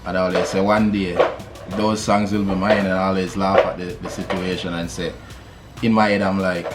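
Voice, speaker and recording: A Jamaican man, a musician, telling his story in a relaxed interview, with many fillers and easy laughs. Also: low-pitched, moderate pace, loud music behind the voice. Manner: laid-back, many fillers, laughs easily. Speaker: musician guest.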